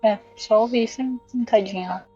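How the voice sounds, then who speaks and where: A woman's voice: a little high, normal speed, warm tone, husky, dull audio, soft background music. A woman with a Brazilian accent playing a tabletop role-playing game, casually improvising as her character.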